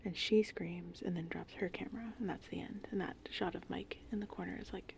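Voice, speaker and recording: A woman doing casual, meandering ASMR in a breathy, whispered and softly spoken voice. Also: fairly low pitch, fairly quick, background noise. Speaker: ASMR host. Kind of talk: ASMR rambling movie summary. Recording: steady rain and thunder ambience behind. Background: gentle rain and thunderstorm sounds.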